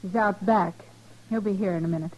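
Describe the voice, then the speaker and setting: Guarded, terse actress as frontier widow. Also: female voice, mid-range voice, fairly quick, lightly acted, poor audio. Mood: curt. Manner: terse, flat, rustic grammar. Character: guarded, bitter frontier widow and protective mother. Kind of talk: 1950s radio western acting.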